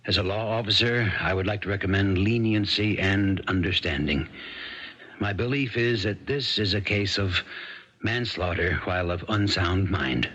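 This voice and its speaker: An actor playing a firm, compassionate sheriff with a husky voice in a dull-sounding 1970s radio drama. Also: male voice, low voice, normal speed, bright, forceful tone, slight background noise. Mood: earnest testimony. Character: steady small-town sheriff, firm, lawful, compassionate.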